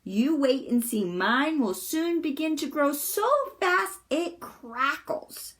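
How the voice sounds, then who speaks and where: Lady reading as a high-voiced bouncy little girl from a storybook, bold n braggy, takes her time, super playful. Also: lively intonation, slow, a bit bright, heavily acted. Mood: boastful. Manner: playful, emphatic, matter-of-fact tall-tale telling. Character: cheerful, bold, boastful, eccentric young girl in storybook.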